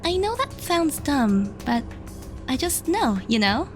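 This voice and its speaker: A high, animated young woman, voiced by an actress, speaks with earnest hope and care. She is sincere and gently insistent, in the manner of audio drama dialogue, over faint music. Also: slow, full character voice.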